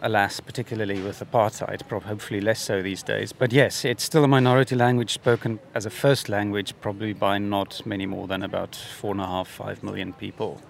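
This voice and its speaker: A man in his fifties from South Africa whose first language is Afrikaans, an author speaking in a measured, reflective way as an interview guest, with hedges and restarts. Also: on the low side, fairly even intonation, creaky. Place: busy book festival venue.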